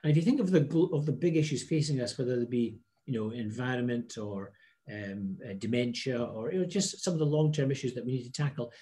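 Guy on a video call from his office, middle-aged, mellow voice, keeps restarting sentences. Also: medium pitch. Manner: measured, restarts phrases often. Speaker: parliament presiding officer. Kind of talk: video-call interview answers. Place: office with blinds and a plant.

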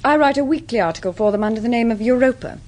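An actress playing a composed society woman with an English accent, answering deliberately in a radio drama. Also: a little high, darkish tone, lightly acted. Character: composed, well-connected society woman under questioning.

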